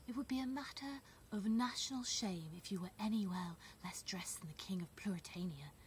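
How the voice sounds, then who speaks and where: A woman's voice: medium pitch, moderate pace, slight background noise, close to an everyday voice. A woman voicing an oily, fawning courtier lord in a storybook, with exaggerated deference.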